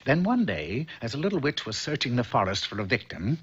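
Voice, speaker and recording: A man's voice: medium pitch, very animated, a little fast, fairly bright tone, slightly rough. A man narrating a comic fairy tale for a cartoon in a storybook style. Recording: dull old cartoon soundtrack, faint noise.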